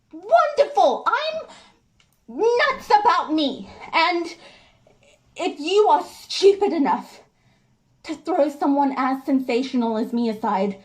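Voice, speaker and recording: A woman acting a hurt, defiant, fiercely loving wife in a theatrical stage monologue, with emphatic, drawn-out delivery. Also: extremely high pitch, voice pushed up, exaggerated voice.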